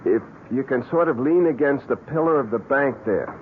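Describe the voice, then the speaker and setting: An actor playing an eager, excitable young camera enthusiast in an old-time radio drama. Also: male voice, medium pitch, normal speed, natural voice. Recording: muffled vintage radio broadcast, faint noise.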